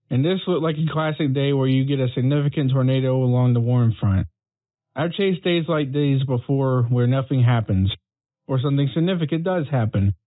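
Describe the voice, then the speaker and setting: Dry, narrowband phone-like audio. A mid-pitched male storm chaser, played by a man gives matter-of-fact, scripted interview answers with a veteran's plainness. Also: no room sound, natural voice.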